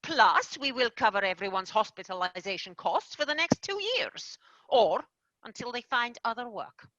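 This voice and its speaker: A woman's voice: fairly high pitch, lively intonation, normal speed, a bit bright, dry recording, dull audio. A woman playing a stern female fairy company boss in a stage play, weary, exasperated and prone to sighing. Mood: businesslike. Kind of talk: amateur stage-play acting.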